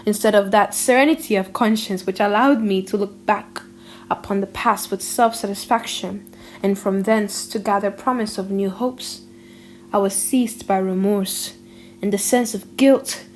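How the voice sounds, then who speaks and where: A woman narrating an audiobook in a theatrical, emotive style, with drawn-out pauses and hushed drops. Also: medium pitch, a little hiss or hum, low-quality recording. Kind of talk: dramatic audiobook reading.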